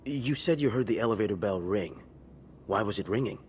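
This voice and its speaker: A man's voice: on the low side, lively intonation, fairly quick, a little hiss or hum, natural voice. A man playing a skeptical police detective in a video game, questioning pointedly and methodically. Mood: suspicious, probing. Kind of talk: naturalistic video game acting.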